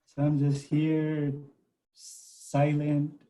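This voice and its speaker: A man's voice: on the high side, completely flat delivery, very slow, warm tone, poor audio. A male student chatting on a class video call, his voice worn and going. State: losing his voice. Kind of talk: casual chat on a video call.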